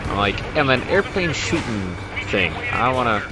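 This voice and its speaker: A man's voice: medium pitch, big pitch swings, normal speed. A man doing casual, rambling gameplay commentary with informal, grumbling asides. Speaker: gamer commentating on own gameplay. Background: flight combat game sounds, explosions and music.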